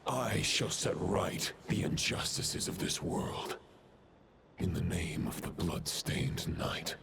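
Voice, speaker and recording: Casting: fallen knight villain for a game, low and slow, cold, solemn, archaic and gravelly. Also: male voice, heavy background noise, exaggerated voice. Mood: menacing resolve. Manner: archaic, formal, portentous declarations. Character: grim corrupted knight villain, solemn, chivalrous leader of a spectral horde. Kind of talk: dramatic video game voice acting. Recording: loud music behind.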